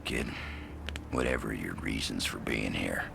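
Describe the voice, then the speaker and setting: An actor playing a laconic cowboy troubleshooter in a video game, with a gravelly voice, an American Southern accent and a folksy drawl. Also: male voice, rumbling low, unhurried, background noise, full character voice. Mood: grave, foreboding. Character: laconic cowboy troubleshooter, last of his kind, grimly welcoming. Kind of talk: video game character monologue.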